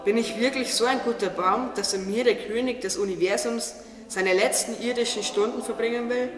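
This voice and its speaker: A high voice sounds a little distant in an echoing hall. It is a talking tree acted by a man, earnest, humble and unsure of its own worth, in a radio play. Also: fairly even intonation, a little fast, faint music behind. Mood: doubting, questioning. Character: young earnest humble talking tree, self-doubting yet devoted. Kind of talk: German radio play acting. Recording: echoey hall, distant from mic.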